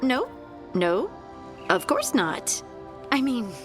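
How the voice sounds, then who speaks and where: An eager young woman adventurer from a video game. Her pitch leaps around with excitement, though she takes her time and falters when shy. Clear game music plays behind her. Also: slow pace, heavily acted. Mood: flustered. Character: eager, flustered young woman adventurer learning stone magic. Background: game soundtrack music.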